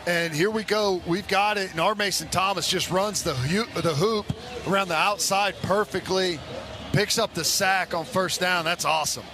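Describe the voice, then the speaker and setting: A man's voice: high-pitched, normal speed. A man giving conversational analysis of each play during a live football radio broadcast. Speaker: radio color commentator. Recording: noisy stadium broadcast.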